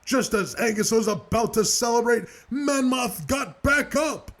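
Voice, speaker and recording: Man doing a husky, high wrestling commentator. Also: animated, measured pace, a bit bright, exaggerated voice. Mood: dramatic.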